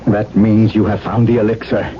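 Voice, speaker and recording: An actor playing a desperate, stubborn bereaved husband, urgent and insistent, in 1970s radio drama style. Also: male voice, fairly low pitch, steady tone, moderate pace, lightly acted. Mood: urgent, resolved. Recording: dull, hissy vintage radio broadcast.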